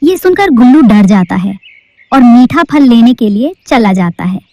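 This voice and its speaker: A woman's voice: fairly high pitch, animated, moderate pace, warm tone, no echo, muffled recording. A woman narrating a cartoon story in a storybook-telling manner.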